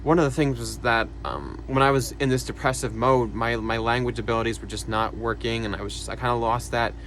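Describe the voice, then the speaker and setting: A young man talking casually to camera about his own life, soft-spoken and hesitant, with many fillers and quiet sighs. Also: mid-range voice, fairly quick, slight background noise. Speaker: vlogger. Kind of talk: casual personal talk to camera.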